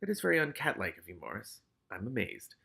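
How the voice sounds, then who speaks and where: A man's voice: fairly low pitch, very animated, quick pace, vocal fry, pushed and bright, breathy edge, heavily acted. A man playing a tall, solemn, dryly puzzled skeletal reaper in a storybook reading, with formal, matter-of-fact speech.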